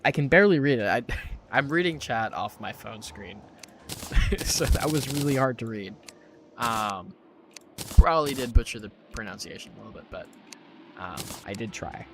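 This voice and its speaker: A man's voice: medium pitch, background noise. A young man from Puerto Rico chatting casually while streaming a game, rambling and reading chat aloud. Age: young adult. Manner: rambling, easily distracted, reads chat aloud. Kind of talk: casual gaming livestream chat. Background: game music.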